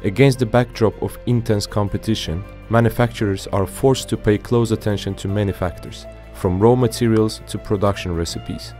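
Male narrator for an industrial report: low register, formal, measured scripted read, faint music bed. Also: steady tone, darkish tone.

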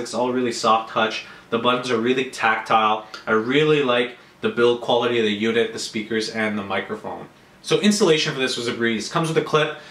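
An echoey home-room recording of a young man with a Canadian accent. His voice is bright and forward at a middling pitch. He talks conversationally and with enthusiasm, like a reviewer addressing viewers. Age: 20s. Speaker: motorcycle gear reviewer. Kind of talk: casual product review to camera. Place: small furnished room at home, indoors. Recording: roomy, echoey indoor sound.

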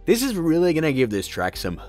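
A man hosts a casual software tutorial in an upbeat, playful way, tossing in joking asides. His pitch leaps about with great animation, and soft music sits faintly underneath. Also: huge pitch swings, fairly quick. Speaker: tutorial host.

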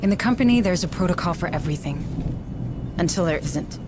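A woman's voice: on the low side, lively intonation, a little fast, noisy background, close to an everyday voice. A woman playing a serious, guarded corporate administrator in a dramatic game, speaking with clipped, firm authority. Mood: stern, serious. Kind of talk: dramatic video game cutscene acting.

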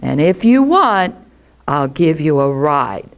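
A woman voicing a gentle, lonely male dragon in a lower, gruff voice. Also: very animated, takes it slowly, full character voice. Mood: reluctant. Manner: low and gruff.

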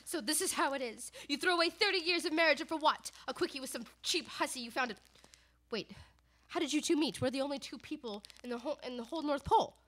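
Woman acting outraged betrayed wife, high, quick, melodramatic, scornful, radio comedy. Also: a bit bright. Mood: scornful, suspicious. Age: young adult. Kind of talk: comic radio theater. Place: small studio stage, indoors. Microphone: studio mic on stand.

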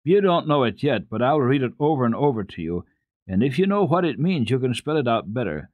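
Man acting little girl, storybook, earnest, kindly, coaxing, measured sing-song delivery. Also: mid-range voice, very animated, dry recording, phone-quality sound, voice pushed up. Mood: patient, insistent.

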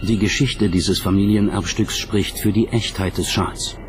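A man narrates a documentary in a low-pitched voice. He reads from a script, and music plays clearly behind him. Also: fairly even intonation, unhurried.

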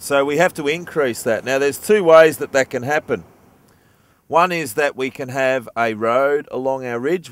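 A man's voice: mid-range voice, no room sound. A young man, a permaculture teacher, explaining a sand model on a beach, thinking aloud with frequent restarts. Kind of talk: outdoor teaching demonstration. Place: outdoors on a sandy beach.

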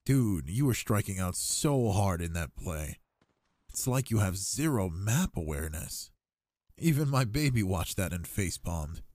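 A man's voice: deep voice, sing-song, a little slow, darkish tone, dry recording. A young man playing an affectionate, cocky gamer boyfriend in an intimate ASMR roleplay, with slangy, teasing talk. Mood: excited, trash-talking.

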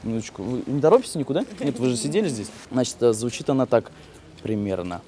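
A man's voice: medium pitch, sing-song, fast talker, mellow, slight background noise. Playful young man hosting a street travel vlog. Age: young adult. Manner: playful, chatty, breaks into singing. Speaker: travel show host. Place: outdoors on an old town street.

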